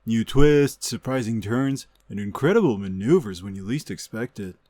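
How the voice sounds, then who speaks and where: A man playing a chipper, rambling amusement park news host, delivering absurd comedic news in an offhand deadpan. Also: lowish voice, moderate pace, dry recording. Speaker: podcast host. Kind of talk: scripted comedic news monologue.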